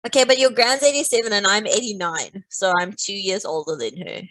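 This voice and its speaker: A woman's voice: medium pitch, expressive, a little fast, fairly bright tone, poor audio. A woman teaching a live online maths lesson, playful and teasing, walking through each step.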